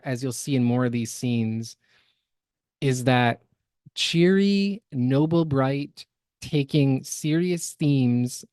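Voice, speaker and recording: A man co-hosting a podcast, giving casual critique in a hesitant, measured way. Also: medium pitch, darkish tone, no room sound. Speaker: podcast co-host.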